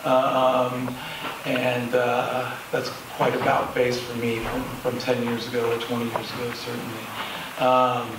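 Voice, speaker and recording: A man speaks from off the mic in a heavily echoing, noisy room. He gives serious, halting panel remarks with very little movement in his pitch. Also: monotone. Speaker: panelist, clinician in private practice. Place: live panel event in an echoey room. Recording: echoey room, distant mic, clear noise.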